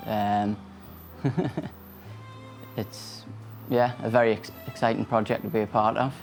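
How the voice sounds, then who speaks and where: A man's voice: on the low side, unhurried, soft background music. A man, a technical diver giving interview answers full of "um"s and restarts. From Sunderland, England. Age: late 20s. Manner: flat, many "um"s and restarts.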